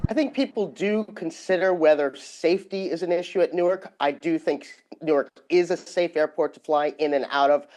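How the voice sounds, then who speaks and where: A man's voice: on the high side, normal speed, hardly any pauses, darkish tone. A man answers interview questions as an industry expert. He speaks in the manner of an executive offering advice on camera. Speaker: company CEO interviewed as travel expert.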